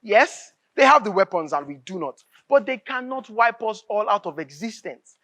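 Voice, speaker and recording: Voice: mid-range voice, big pitch swings, moderate pace, pushed and bright. A stage actor playing a fervent, impatient revolutionary with a Nigerian accent, speaking in emphatic, oratorical dialogue and repeating phrases for force. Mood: insistent, preachy. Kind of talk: live stage drama acting, declamatory dialogue.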